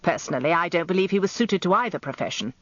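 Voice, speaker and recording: A woman's voice: fairly low pitch, lively intonation, quick pace, dry recording, lightly acted. A woman voicing a wry, grumbling old male barrister with a droll, ponderous manner in a radio story. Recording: slightly dull, lo-fi radio recording, dry.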